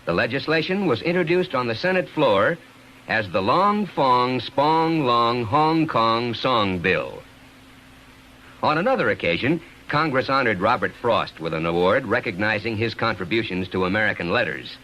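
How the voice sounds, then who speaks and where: A man's voice: medium pitch, lively intonation, normal speed, sharp tone, low-quality recording. A man working as a radio announcer, delivering scripted narration of light anecdotes. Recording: hissy old radio recording.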